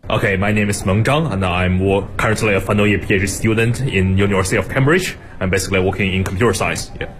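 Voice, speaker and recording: A young man with a Chinese accent and a fairly low voice answers interview questions. He hesitates and restarts now and then. Faint ambient noise sits behind him. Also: fast talker, few pauses, fairly bright tone. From China. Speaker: interviewee, PhD student. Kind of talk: casual interview.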